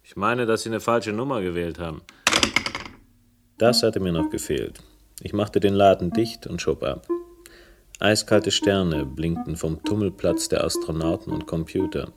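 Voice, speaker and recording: Low-voiced, world-weary male investigator. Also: unhurried. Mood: sardonic, resigned. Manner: laconic, sardonic, clipped phrases. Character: world-weary, bitter male private investigator narrating his troubles. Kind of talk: 1970s German radio crime drama narration. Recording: 1970s radio drama with music behind.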